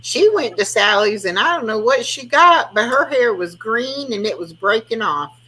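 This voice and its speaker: A woman in her sixties hosting a casual online chat, chatty and quick to laugh, often trailing off mid-sentence. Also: on the high side, animated, pushed and bright, breathy edge, poor audio. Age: 60s. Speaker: host of an online chat room.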